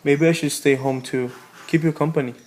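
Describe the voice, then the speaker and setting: A man acting a devoted, gently teasing husband in a radio play, affectionate and reassuring. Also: a little high, steady tone, fairly quick, lightly acted. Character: devoted, teasing, dismissive husband, Tom Moonlight. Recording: radio play with loud music behind.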